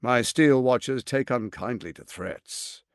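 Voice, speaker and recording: An actor playing a suave, ambitious, scheming lord in a video game, speaking in a theatrical, articulate and condescending way. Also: male voice, mid-range voice, a little slow, dry recording, studio quality, natural voice. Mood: cold, condescending. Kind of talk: dramatic video game voice acting.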